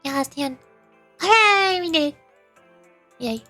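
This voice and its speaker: A woman's voice: very high-pitched, animated, measured pace, pushed and bright. A woman VTuber giving casual, off-the-cuff commentary while streaming a game. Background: music.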